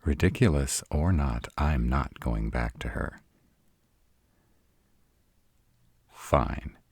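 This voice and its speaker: A man's voice: a bass voice, slow, creaky, darkish tone, breathy edge, no echo, heavily acted. A man playing a sarcastic, proud city-bred vampire in a scripted audio roleplay, with dry, sardonic delivery. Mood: exasperated sarcasm. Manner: sardonic, dry, rhetorical questioning.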